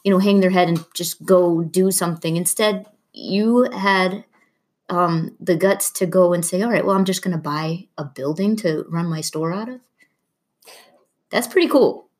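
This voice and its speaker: A woman's voice: on the low side, normal speed, a little mellow. A woman hosting a podcast, interviewing her guest in a casual conversational style.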